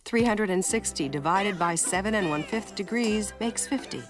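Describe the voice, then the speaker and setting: A woman reading a scripted narration for an educational film, telling it in a measured storytelling way. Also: medium pitch, over music. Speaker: documentary narrator.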